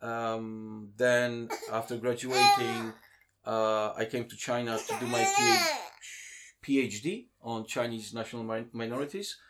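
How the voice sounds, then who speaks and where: A man, a guest in a casual interview who talks with many pauses, ums and restarts. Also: mid-range voice, very noisy. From Poland.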